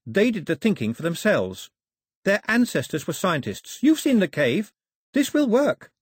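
A man puts on a spirited storybook princess, pitched well up. She speaks eagerly and politely, with swooping intonation. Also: sing-song, a little slow, slightly rough, dry recording, clean studio sound, full character voice. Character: spirited young princess, eager daughter of a king.

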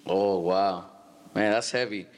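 Host man in a reverberant hall. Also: sits low. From Stockton, California. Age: 30s. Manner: halting, many restarts and fillers. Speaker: podcast host. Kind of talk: casual church podcast interview.

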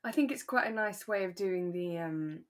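A woman's voice: on the low side, animated, normal speed. British female podcast host, easygoing conversational delivery, informal and unscripted. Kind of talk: relaxed casual chat.

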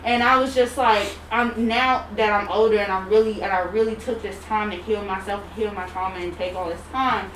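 An emphatic, outspoken young woman talks loudly in a roomy, echoing space. She sits some way from the mic, with steady noise underneath. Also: mid-range voice. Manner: emphatic, loud and unapologetic, drawn-out phrasing. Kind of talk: casual group discussion. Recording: echoey room, distant mic, background noise.

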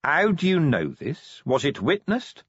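A male narrator plays a middle-aged police commander in an audiobook. He is gruff and combative, challenging people bluntly with a sardonic edge. His pitch rises and leaps about a great deal. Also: huge pitch swings, measured pace, fairly bright tone, no room sound, highs cut off, full character voice. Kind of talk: audiobook character acting.

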